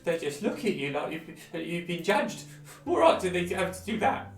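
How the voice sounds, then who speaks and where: A man's voice: high voice, big pitch swings, normal speed, gravelly, full character voice. An actor playing an agitated, paranoid townsman in a video game, fretting in a rambling, folksy way. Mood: paranoid, fretful. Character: paranoid, agitated townsman hiding upstairs from a paladin. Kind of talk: video game character acting. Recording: roomy, distant, faint music.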